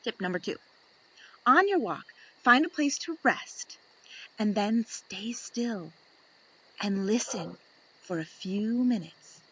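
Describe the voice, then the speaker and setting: A woman's voice: mid-range voice, expressive, sharp tone, husky, rough recording. Woman reading aloud to children. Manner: deliberate, with dramatic pauses. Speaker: children's story reader. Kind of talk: expressive read-aloud for young children.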